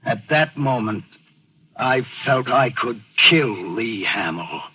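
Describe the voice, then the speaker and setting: A man's voice: medium pitch, expressive, slow pace, husky, no echo, full character voice, low-quality recording. An American actor playing a bitter, jealous husband narrating his story in a controlled, clipped way in a 1940s radio mystery. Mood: brooding. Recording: old radio broadcast with loud music.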